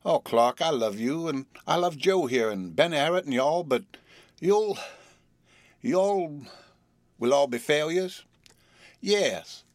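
A man voicing an affectionate, restless young woman from the South, with a Southern US drawl and an earnest, halting manner in a storybook reading. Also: a little high, lively intonation, no room sound, studio quality, voice pushed up.

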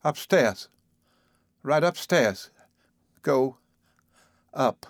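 A man's voice: medium pitch, fairly quick, dry recording, clean studio sound, natural voice. A man voicing a flustered, severe young female hospital nurse with a reproachful manner in a storybook reading. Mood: hysterical. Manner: stern, reproachful.

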